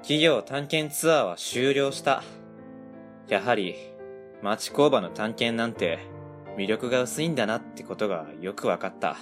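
A woman with a Kansai accent voicing a kindly, soft-hearted middle-aged man who runs a small factory, in an amateur radio drama. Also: extremely low pitch, animated, a little slow, soft background music. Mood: glum. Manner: gentle, humble, easily moved.

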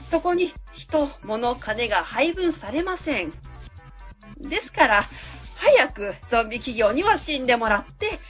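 High-pitched, overbearing woman consultant played by an actress. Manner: didactic, asks and answers own questions. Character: overbearing female consultant lecturer, cold and self-assured. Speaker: actor in a web radio drama. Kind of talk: radio drama acting, delivering a presentation.

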